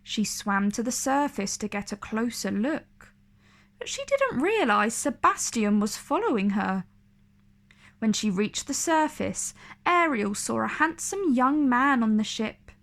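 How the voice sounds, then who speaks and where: Slow-paced woman reading a children's story. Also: a little high, sing-song, fairly bright tone. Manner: deliberate, dramatic storytelling. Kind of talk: expressive read-aloud narration for kids.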